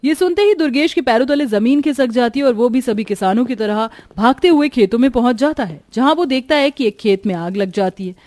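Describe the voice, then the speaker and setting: A female narrator tells a scripted folk story. Her voice sits on the high side and is recorded close and dry, with hardly any room sound. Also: lively intonation, a little fast, few pauses, darkish tone, no echo.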